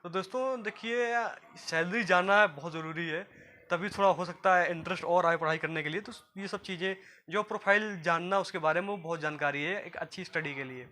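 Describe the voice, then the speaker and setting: A man's voice: high voice, brisk, pushed and bright, a little hiss or hum. A man from gives an informal, repetitive explainer to viewers as a job guidance presenter. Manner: repetitive, explanatory, often addressing listeners as friends.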